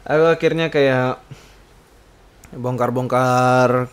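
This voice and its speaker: A man's voice: medium pitch, steady tone, slow pace, clear, resonant tone. A man hosts a casual, rambling solo podcast, hesitantly searching for words and slipping in slang and English. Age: 20s. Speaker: podcast host.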